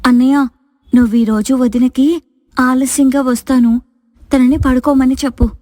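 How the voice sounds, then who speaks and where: A woman acts a suspicious, anxious young sister in a moral tale. Her delivery is urgent and insistent, her pitch fairly high. The recording is dry. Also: steady tone, moderate pace, warm tone, no echo, long reverb tail, close to an everyday voice. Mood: questioning.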